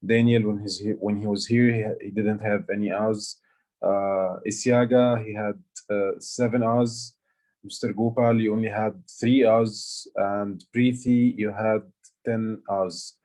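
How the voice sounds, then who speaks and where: A man's voice: on the low side, steady tone, measured pace, darkish tone, low-quality recording. A man from Egypt, not an English speaker, giving a meeting report on a video call with many fillers and restarts. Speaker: aha counter and grammarian. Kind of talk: online club meeting report.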